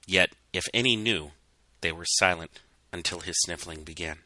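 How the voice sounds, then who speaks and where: A man narrates a scripted story in a measured, dramatic way. His voice sits low but has a very bright, forceful edge. The sound is dry, with little room on it. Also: no echo. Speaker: narrator reading fan fiction.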